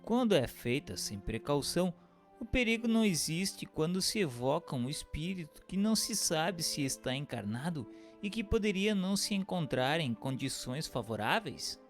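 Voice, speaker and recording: A man's voice: a little high, big pitch swings, normal speed. Reverberant man reading formal narration. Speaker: narrator and questioner. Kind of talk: scripted narration, reading aloud. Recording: long hall reverb, music underneath. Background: music.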